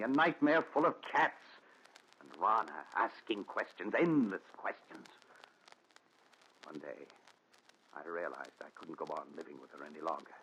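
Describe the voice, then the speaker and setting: An actor playing a haunted, exasperated husband in a 1940s radio thriller, speaking in an earnest, brooding, melodramatic way. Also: male voice, low voice, a bit bright. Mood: tormented. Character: haunted, exasperated husband confessing to his doctor. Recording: dull, slightly noisy 1940s radio recording.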